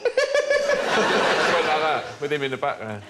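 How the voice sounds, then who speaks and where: A man's voice: fairly high pitch, wildly swooping pitch, slow pace. A man telling comic anecdotes and bantering as a panel guest in a wry, mock-earnest way. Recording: very noisy TV studio.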